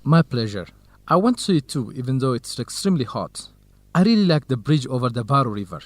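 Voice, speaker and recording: An actor with an Ethiopian accent playing an organized, courteous, gently grumbling male researcher in an educational radio drama, speaking in a measured, explanatory way. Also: mid-range voice, big pitch swings, no room sound. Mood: helpful, practical.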